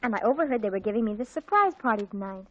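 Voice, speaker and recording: A woman playing an excitable, bossy teenage girl in a 1940s radio comedy, dramatic and wheedling. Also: mid-range voice, very fast, lightly acted. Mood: excited, bossy. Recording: muffled 1940s radio recording.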